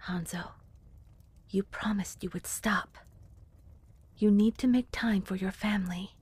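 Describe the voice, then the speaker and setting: A woman playing a worried, loving wife and mother in an audio drama, hushed and breathy, gently earnest. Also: medium pitch, fairly even intonation, measured pace, dry recording. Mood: worried, pleading. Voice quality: breathy, whispery.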